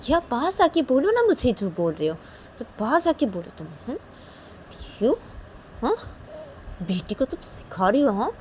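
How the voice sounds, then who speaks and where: Woman doing a strict mum scolding her kid, loud n naggy, keeps asking "huh?", faint noise behind. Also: a little high, sing-song, moderate pace, pauses often, pitched above the performer's own voice. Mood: stern scolding. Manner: scolding, repeating questions. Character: strict angry young mother, scolding.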